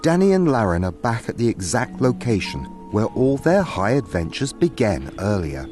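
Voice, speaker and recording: Very deep male storyteller over music. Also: very animated, normal speed. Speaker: narrator. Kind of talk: scripted dramatic story narration.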